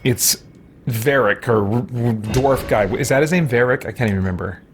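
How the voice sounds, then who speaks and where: A man's voice: mid-range voice, normal speed, slight background noise. A man doing casual live gameplay commentary as a streamer, thinking aloud in a rambling, hesitant way. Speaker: game streamer and host. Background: game music.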